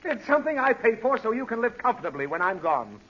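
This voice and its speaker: Exasperated high-voiced dad, old radio. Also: male voice, very animated, quick pace, sharp tone, husky, heavily acted. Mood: weary, scolding. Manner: pleading, scolding, blustering. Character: exasperated, sleep-deprived, easily flustered father. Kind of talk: 1940s radio comedy acting. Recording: muffled, hissy old radio recording.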